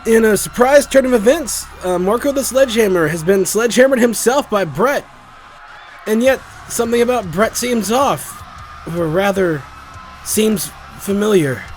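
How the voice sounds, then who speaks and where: Casting: male actor as showy arena announcer, high voice with big pitch swings, excitable, dramatic, visual novel style. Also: moderate pace, soft background music. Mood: amazed. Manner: theatrical, dramatic.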